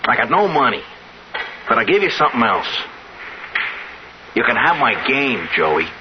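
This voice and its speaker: A man's voice: medium pitch, very animated, normal speed, natural voice. An actor playing an earnest, insistent young pool shark in his twenties, talking urgent street talk in old-time radio drama style. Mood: urgent, intense. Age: 20s. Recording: dull, hissy old radio recording.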